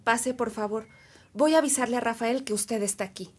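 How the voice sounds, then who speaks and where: Woman acting a polite, higher-voiced housekeeper. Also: brisk, darkish tone, slight background noise, lightly acted. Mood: welcoming. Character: polite, devout household woman welcoming guests. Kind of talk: Spanish-language radio drama acting.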